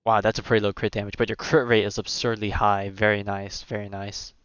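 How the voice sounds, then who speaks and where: A man's voice: on the low side, little pitch movement, fairly quick, fairly bright tone, clear, resonant tone, no echo, highs cut off. A man giving casual livestream game commentary, rambling and thinking aloud in a hesitant way. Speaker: game streamer.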